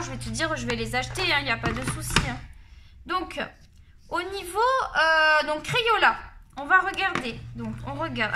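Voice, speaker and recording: A woman's voice: high voice, background noise. A woman with a Marseille accent chatting casually and jokingly to her viewers while she tests colored pencils at her desk. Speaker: art vlogger reviewing colored pencils. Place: at a desk at home, face off camera.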